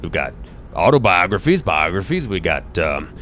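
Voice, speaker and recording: A man's voice: low voice, big pitch swings, normal speed, fairly bright tone, slight background noise. A man voicing a genial, dotty old bookshop keeper in a tabletop roleplay, hesitant, apologetic and full of folksy exclamations. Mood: rambling, helpful.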